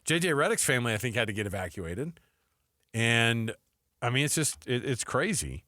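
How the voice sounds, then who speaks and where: A middle-aged American man co-hosting sports talk radio with casual, animated, colloquial banter. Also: mid-range voice, normal speed, no echo, clean studio sound. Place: radio studio. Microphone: close studio microphone.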